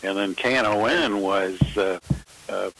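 A man with a fairly low voice takes part in a casual radio discussion. He reminisces thoughtfully, feeling his way through each sentence with pauses. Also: vocal fry, a bit bright, low-quality recording. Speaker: radio show panelist.

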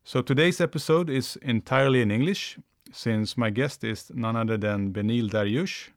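This is a man with a Swedish accent who hosts a podcast and speaks English as a second language. His pitch sits in the middle of the male range. He talks in a friendly, conversational way, pausing often on fillers. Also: no echo, clean studio sound. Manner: friendly, hesitant with frequent fillers. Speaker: podcast host.

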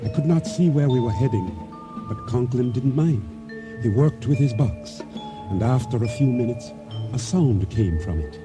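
An actor playing a wary boat owner who tells his tale gravely and deliberately in a radio drama. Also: mid-range voice, animated, lightly acted. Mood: weary, watchful. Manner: deliberate, solemn, measured storytelling. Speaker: narrator and lead actor. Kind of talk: radio drama acting, first-person storytelling. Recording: radio drama with faint music underneath.

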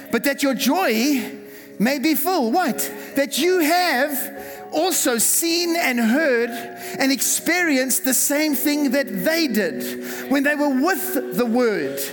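High-voiced South African pastor preaching. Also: male voice. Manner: fervent preaching, repeats words, calls for congregation responses. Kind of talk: sermon. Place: church hall. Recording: reverberant hall with music behind. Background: music.

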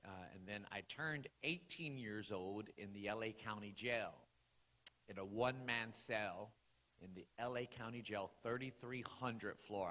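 A man in his forties delivers a testimony sermon in a church sanctuary, his fairly low voice ringing out with a long tail of reverb. He talks in a loose, conversational way, full of fillers, then rises into loud bursts of preaching. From Los Angeles area, California. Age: 40s.

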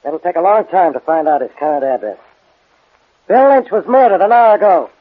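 An actor playing a gruff, suspicious police inspector with a sardonic, badgering manner in a 1940s radio drama. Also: male voice, high-pitched, animated, brisk, a little hiss or hum. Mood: sarcastic, badgering. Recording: tinny, narrow 1940s radio recording.

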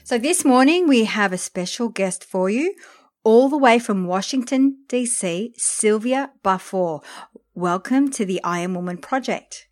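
A woman's voice: fairly high pitch, animated, a little slow. A woman hosting a podcast, reading an upbeat scripted intro and asking enthusiastic interview questions. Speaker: podcast host.

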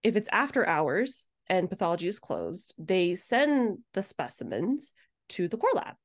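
A woman's voice: mid-range voice, sing-song, a little slow. A woman hosting a podcast in a casual, conversational interview style. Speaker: podcast host.

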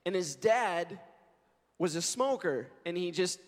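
A man in his forties, a pastor, preaching a sermon in an earnest, conversational way and telling stories, his voice hoarse and scratchy. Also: high voice, animated, takes it slowly. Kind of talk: sermon with prayer and scripture reading. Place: church sanctuary. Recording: long reverb of a large church hall.

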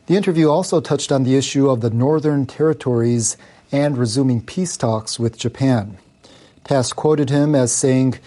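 Mellow-voiced man reading radio news. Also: medium pitch. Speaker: news reader.

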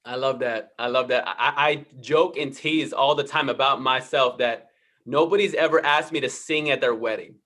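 A man hosting an interview, responding in a warm, affirming, reflective way and often stumbling over repeated words. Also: mid-range voice, moderate pace. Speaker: interview host. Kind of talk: conversational interview.

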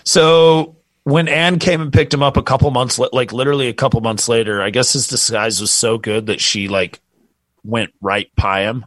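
A relaxed man narrates a true crime tale in a loose, rambling way, swearing as he goes. His voice sits mid-range and sounds dry and close to the mic. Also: mid-range voice, moderate pace, no echo. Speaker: podcast co-host telling a true crime story. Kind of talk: casual storytelling chat.

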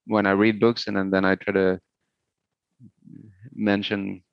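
A middle-aged man from Sweden, a native Swedish speaker, talking haltingly and thoughtfully as a musician in a casual interview. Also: on the low side, little pitch movement, vocal fry, no room sound, dull audio. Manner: hesitant, halting, thoughtful, searching for words.

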